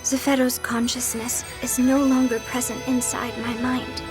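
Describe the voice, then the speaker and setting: An actress playing a calm, quietly knowing young woman in a video game cutscene, understated and patient. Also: fairly high pitch, moderate pace, music in the background, close to an everyday voice.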